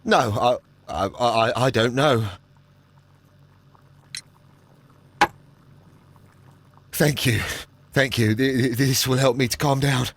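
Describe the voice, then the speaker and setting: Jittery haunted councillor in a video game, stutters a lot, unsure and apologetic, close dry sound. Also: male voice, mid-range voice, unhurried, a bit bright, no room sound. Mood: shaken. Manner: heavy stammer, hesitant, apologetic. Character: nervous, haunted, guilt-ridden male councillor and archaeology enthusiast. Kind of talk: scripted video game acting.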